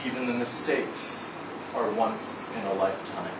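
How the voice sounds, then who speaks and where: A man voicing a big, weary, gruff male drifter in a story reading, terse and serenely cryptic. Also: mid-range voice, big pitch swings, measured pace. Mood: musing. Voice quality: gruff, rough. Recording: roomy, distant, noisy live event recording.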